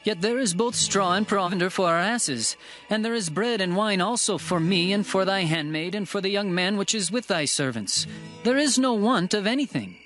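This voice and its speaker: Man acting a high-pitched, resolute traveler. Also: lively intonation, normal speed, a bit bright, soft background music. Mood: earnest explaining. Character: resolute traveling master, a weary wayfaring man. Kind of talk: dramatized scripture reading, archaic English.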